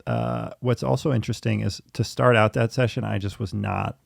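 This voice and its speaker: A man talks casually in a fairly low voice full of fry. He is candid and quick to laugh, like a host chatting with someone he knows. The sound is very dry. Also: steady tone, dry recording. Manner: laughs easily while talking, candid. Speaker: podcast host and therapy client. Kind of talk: casual conversational chat.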